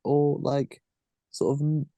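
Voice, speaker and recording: Male podcast host, very slow and halting, soft mellow tone, casual interviewing. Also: on the high side, little pitch movement, vocal fry, dry recording. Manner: halting, searching for words.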